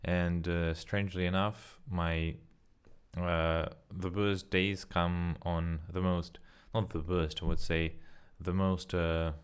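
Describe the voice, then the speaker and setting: Non-native English-speaking young man, deep clear voice, loose casual vlog chat full of fillers and restarts. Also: little pitch movement, takes it slowly. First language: not English. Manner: rambling, self-correcting, frequent fillers. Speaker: vlogger. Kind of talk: casual spoken practice vlog.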